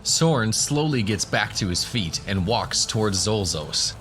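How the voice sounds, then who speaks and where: A man's voice: fairly low pitch, expressive, a little slow, a bit bright. A man giving scripted narration for a fantasy audio drama. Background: music.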